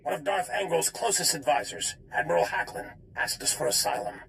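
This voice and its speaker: Serious spy boss in a game, super gravelly, businesslike, faint background hum. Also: male voice, on the high side, animated, fairly quick, fairly bright tone, low-quality recording. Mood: grave urgency. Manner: earnest, businesslike. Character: grave, pragmatic male intelligence commander giving briefings. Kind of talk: dramatic video game acting.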